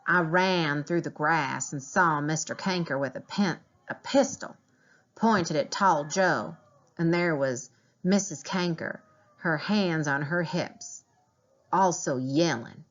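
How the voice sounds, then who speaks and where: A woman's voice: low-pitched, slow pace, highs cut off. A woman reading a children's diary novel aloud as a teacher would, in storybook narration.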